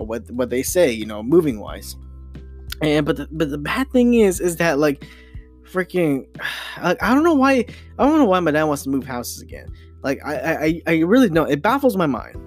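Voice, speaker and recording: A young man hosting a casual solo podcast, rambling and excitable, full of restarts and fillers. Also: on the high side. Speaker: podcast host.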